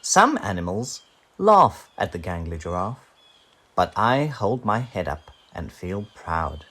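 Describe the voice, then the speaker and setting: A man's voice: deep voice, sing-song, slow, sharp tone, heavily acted. A man voicing a gangly, proud, unbothered giraffe from a children's storybook in a lofty, emphatic way.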